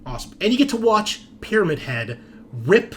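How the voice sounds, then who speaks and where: A man's voice: sits high, sing-song, moderate pace, a bit bright. A man hosting a tabletop roleplaying show, talking casually and bluntly with swearing and emphatic tags like "right?". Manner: ranting, emphatic, punctuating with "right?" and "okay?". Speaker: host and game master of a tabletop roleplaying show. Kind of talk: casual, profane opinionated chat.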